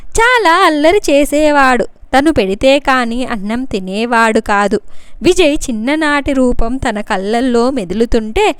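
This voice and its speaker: Female story narrator, high-pitched and crisp, scripted read. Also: no echo.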